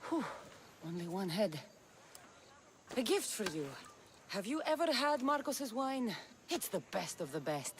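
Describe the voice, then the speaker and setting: A woman's voice: fairly high pitch, very animated, a little slow, pauses often, slight background noise. A woman playing a sardonic, skeptical young mercenary heroine in a video game, often exasperated. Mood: persuasive. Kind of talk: video game cutscene acting.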